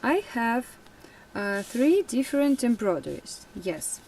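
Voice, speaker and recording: A young woman whose first language is Russian, chatting casually in a vlog, laughing often and pausing with fillers. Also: a little high, very animated, a little mellow, slight background noise, low-quality recording. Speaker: vlogger.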